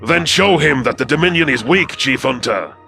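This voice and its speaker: A man voicing an earnest male alien predator warrior in a sci-fi audio story, gravelly, intense and halting. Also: medium pitch, animated, pushed and bright, faint music behind, voice pushed up. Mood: eager.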